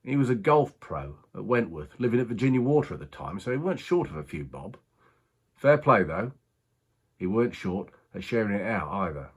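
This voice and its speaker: An actor playing a rueful working-class racing man, husky, confiding a strange story in a halting, colloquial monologue. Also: fairly low pitch, very animated. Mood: fond, wry. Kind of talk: dramatic monologue, conversational storytelling.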